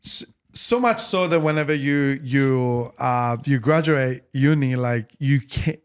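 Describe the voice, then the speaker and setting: A man with an Italian accent chats loosely in an interview, circling back and restarting his sentences. His voice sits at an ordinary middle pitch, and he keeps talking with animated energy. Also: unhurried, smooth. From Italy. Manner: rambling, many restarts and fillers, animated. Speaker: tailor being interviewed. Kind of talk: casual interview conversation.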